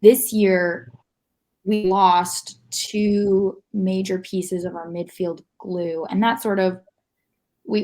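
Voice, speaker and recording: A woman with a mid-range voice co-hosts a casual sports podcast. She works through her analysis out loud, in an analytical way. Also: medium pitch. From United States. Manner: analytical, thinking aloud. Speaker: podcast co-host.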